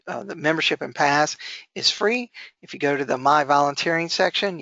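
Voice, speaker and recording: Higher-pitched, slightly creaky male webinar host. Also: sharp tone, no room sound. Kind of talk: webinar announcements and introduction. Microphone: online web meeting microphone. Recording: dry, slightly dull online call audio.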